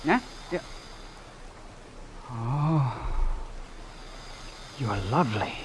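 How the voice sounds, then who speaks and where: A man's voice: mid-range voice, lively intonation, very slow, background noise. An actor playing an earnest, bashful small-town young man, hesitant and stammering, in old-time radio drama style.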